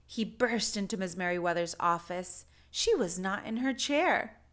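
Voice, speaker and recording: A woman narrating a picture book aloud for children, with deliberate, expressive phrasing. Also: mid-range voice. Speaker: children's story reader.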